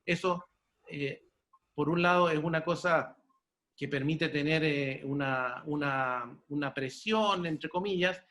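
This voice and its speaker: A Chilean man hosts an interview in a voice pitched a little high. He talks at length without a script, halting and repeating words while he finds the next phrase. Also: fairly bright tone, slight background noise. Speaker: host and interviewer. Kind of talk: unscripted interview hosting.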